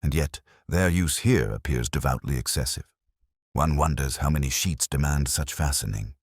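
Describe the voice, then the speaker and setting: A man playing a shrewd, formal police inspector who speaks deliberately in a mystery story. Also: extremely low pitch, slightly rough, no echo, studio quality, exaggerated voice. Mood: dryly suspicious. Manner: formal, cryptic, deliberate phrasing.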